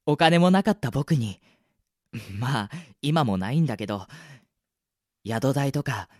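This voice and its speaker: A man acting a loyal, gentle young adventurer boy in an amateur radio drama, speaking earnestly and politely. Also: mid-range voice, lively intonation, measured pace, dry recording, clean studio sound, natural voice. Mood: sincere. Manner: soft-hearted, earnest, polite.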